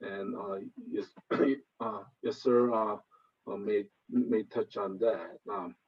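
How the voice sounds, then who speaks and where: A man in his fifties, born in Korea, with a Los Angeles Koreatown accent, giving a casual info session presentation on a video call and often pausing on filler sounds. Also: lowish voice, steady tone, breathy edge. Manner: hesitant, many filler sounds. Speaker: presenter, finance professional. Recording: slightly dull video call audio.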